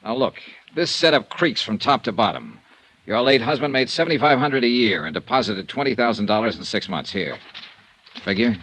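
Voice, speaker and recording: An actor playing a wry, hard-boiled insurance investigator with a dry, clipped delivery, in a 1950s radio drama. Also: male voice, medium pitch, lively intonation, moderate pace, a bit bright. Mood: blunt. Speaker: detective narrator and lead. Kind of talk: 1950s radio noir narration and acting.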